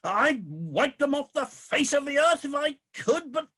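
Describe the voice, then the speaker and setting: A man's voice: very high voice, big pitch swings, measured pace, a bit bright, heavily acted. An actor playing a weary, disillusioned ageing king of the gods in a comic radio play, with a grumbling, theatrical delivery. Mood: despairing, frustrated.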